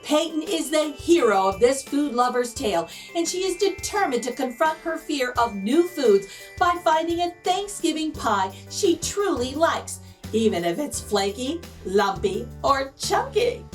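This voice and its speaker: A woman's voice: high voice, big pitch swings, unhurried, soft background music. A woman host reading a children's storybook aloud expressively, drawing out key words for emphasis. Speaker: children's picture book read-aloud host.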